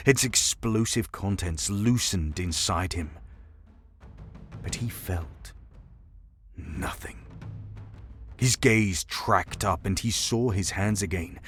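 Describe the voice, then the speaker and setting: A man reading dark science fiction as dramatic scripted narration, measured and grave in delivery. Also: sits low. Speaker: story narrator. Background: music.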